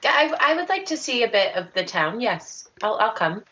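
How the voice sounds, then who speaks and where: A woman role-playing casually in an online tabletop game, talking in a hesitant, halting way. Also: fairly high pitch, sing-song, bright, forceful tone. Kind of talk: casual in-character role-play over online chat. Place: indoor room on a group video call.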